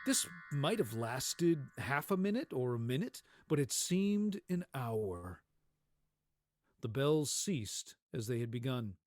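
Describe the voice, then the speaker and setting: A man narrating a story dramatically, with theatrical emphasis and deliberate phrasing. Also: medium pitch, big pitch swings, a little mellow.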